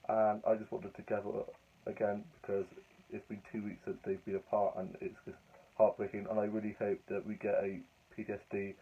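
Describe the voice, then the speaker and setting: A young man with a hoarse, croaky voice talks on and on in a cheerful, rambling way. His voice sits low and flat, with little rise and fall. The sound is thin and tinny, like a phone recording. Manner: chatty, rambling, upbeat. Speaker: vlogger. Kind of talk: casual vlog chat.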